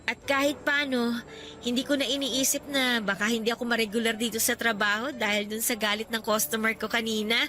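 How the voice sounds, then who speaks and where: A woman's voice: on the high side, steady tone, brisk, pushed and bright, a little hiss or hum. A woman playing a shy, insecure young co-worker, hesitant and lightly teasing, in radio drama dialogue. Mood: amused, shy. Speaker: drama voice actor.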